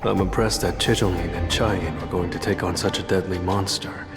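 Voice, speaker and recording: An actor playing a calm, self-assured young monster hunter in a game cutscene, speaking in a reserved, deliberate way. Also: male voice, deep voice, over music. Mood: measured, curious. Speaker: voice actor playing the game's protagonist. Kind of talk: English game cutscene acting with inner monologue.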